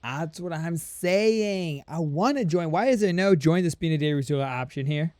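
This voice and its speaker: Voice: medium pitch, moderate pace, darkish tone, no echo. A streamer giving casual, playful, rambling commentary while reacting to a game. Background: game music.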